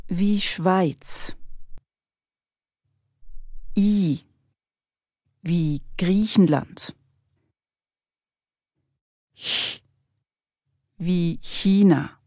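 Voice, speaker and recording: A woman's voice: on the low side, darkish tone, no echo, clean studio sound. A woman reading German drill sentences and spelling sounds for learners, carefully articulated. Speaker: language course speaker. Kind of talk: slow scripted language drill.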